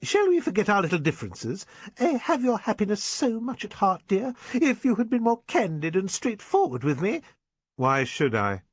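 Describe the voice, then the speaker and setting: A man voicing a shrill, hysterical, fanatical middle-aged spinster in an audiobook reading. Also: big pitch swings, normal speed, slightly rough, no room sound. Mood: wheedling. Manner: shrill, breathless, rising to frenzied.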